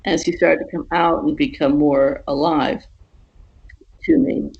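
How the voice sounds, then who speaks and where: A woman giving a casual interview as a guest author, explaining things haltingly and rephrasing as she goes. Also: low-pitched, fairly even intonation, vocal fry, slightly rough, lo-fi sound.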